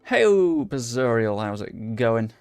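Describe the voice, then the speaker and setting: Brief: young guy, gravelly, dry close mic, casual gaming commentary with faint music under it. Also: mid-range voice, big pitch swings, slow pace, darkish tone, no room sound. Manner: chatty, swears under his breath, talks to viewers and chat. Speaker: gaming YouTuber. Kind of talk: casual live commentary over a football management game.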